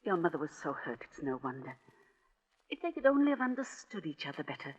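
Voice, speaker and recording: A woman playing a genteel, sentimental middle-aged aunt in a 1940s radio drama, speaking formally with gentle hesitation. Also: deep voice, big pitch swings, fast talker, husky, long reverb tail, heavily acted. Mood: wistful. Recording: dull, muffled 1940s radio recording.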